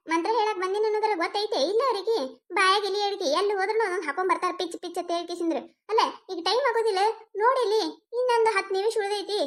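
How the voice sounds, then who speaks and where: Woman doing a very high, fast village woman. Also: a bit bright. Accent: North Karnataka Kannada. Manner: quick, chattering village woman voices. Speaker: cartoon voice artist. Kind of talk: village comedy cartoon dialogue.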